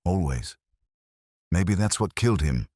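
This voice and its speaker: Guy doing nosy suspicious churchgoer gossip for a mystery story, opinionated, husky deep voice. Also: animated, measured pace, a little mellow, no room sound, studio quality. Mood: alarmed gossip. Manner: opinionated, colloquial.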